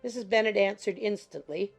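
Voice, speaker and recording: A woman narrates a classic novel in a medium-pitched voice with a noticeable creak. The reading is scripted and literary, with faint music behind it. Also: brisk. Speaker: audiobook narrator.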